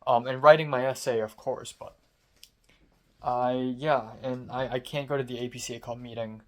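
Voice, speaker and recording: A man's voice: mid-range voice, steady tone, moderate pace. A young man from Hong Kong speaking in a casual, late-night diary vlog. His throat is sore and strained, and he rants with sarcasm, swearing and self-mockery. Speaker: vlogger.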